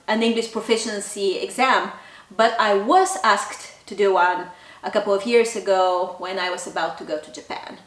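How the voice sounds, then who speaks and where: Lady in her 30s chatting to camera, echoey room, mic a bit far away. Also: mid-range voice. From Bulgaria. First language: Bulgarian. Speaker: language channel host. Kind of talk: casual talk to camera. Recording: roomy echo, distant mic.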